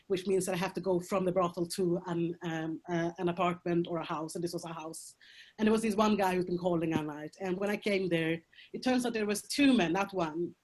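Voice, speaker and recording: A woman's voice: on the low side, a little mellow. Frank Swedish-accented woman on a video call. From Sweden. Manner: frank and emphatic, swears, laughs through hard topics. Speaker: panel guest. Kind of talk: candid conversational talk.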